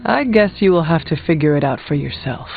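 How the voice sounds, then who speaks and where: An actor playing an earnest, resolute resurrected warrior in a video game, speaking in a formal, measured way. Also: male voice, fairly high pitch, animated, vocal fry, slightly rough, faint music behind, exaggerated voice. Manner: formal, measured, avoids contractions. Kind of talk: video game character dialogue. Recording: thin, phone-like narrow audio.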